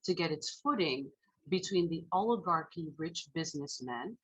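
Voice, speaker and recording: Woman minister in her forties, French-speaking first, low husky voice, measured sermon delivery. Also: expressive, rough recording. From Haiti. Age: 40s. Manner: measured, reflective storytelling.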